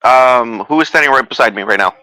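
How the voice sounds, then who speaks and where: A man's voice: medium pitch, fairly quick, pushed and bright, clear, resonant tone, no room sound, poor audio. A man taking part in a tabletop role-playing game as a player, improvising casually in character.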